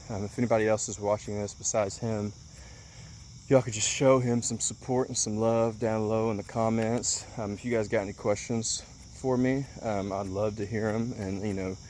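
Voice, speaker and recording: A man's voice: on the low side, darkish tone, slight background noise. A man in his late twenties giving an earnest motivational talk outdoors, with lots of ums and repeated words for emphasis. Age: 20s. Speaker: motivational vlogger.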